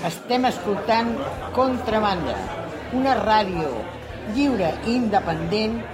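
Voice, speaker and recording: A woman's voice: medium pitch, measured pace, loud music behind the voice. A woman giving a radio station identification as an announcer. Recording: loud crowd noise around the voice. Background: loud crowd in a public space.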